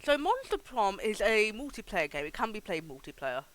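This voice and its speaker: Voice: medium pitch, big pitch swings, normal speed, a bit bright, rough recording. A game streamer chatting casually and informally to viewers, with a sweary, chatty manner. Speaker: live streamer hosting a game playthrough. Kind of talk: casual gaming stream chat with some reading aloud of game text. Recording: music behind the voice. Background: music.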